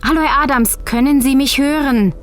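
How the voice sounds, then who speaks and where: A woman acting as a female character in an audio story, with a fairly high voice. Her dialogue is theatrical and carefully enunciated. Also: fairly quick, close to an everyday voice. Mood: attentive. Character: women in a story: wife, urgent hospital nurse.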